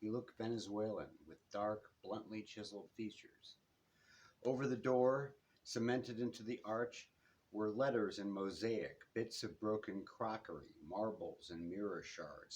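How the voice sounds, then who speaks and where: A man reading his own first-person detective novel aloud in measured short phrases that fall away at the ends, as at a live bookshop reading. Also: fairly low pitch, darkish tone.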